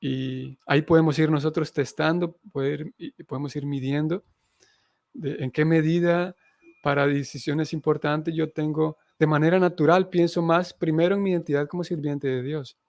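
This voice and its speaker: Male spiritual lecturer. Fry-laden voice, close dry sound, thoughtful explanatory delivery. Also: a little high, fairly even intonation, normal speed, darkish tone, no room sound. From Honduras. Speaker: Hare Krishna missionary teacher. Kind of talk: spiritual lecture, reading scripture aloud and explaining it.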